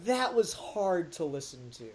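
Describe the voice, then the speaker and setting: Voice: mid-range voice, sing-song, measured pace, a little mellow. A podcast co-host giving a casual, blunt and emphatic critique in conversation. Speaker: podcast co-host reviewing an audio drama.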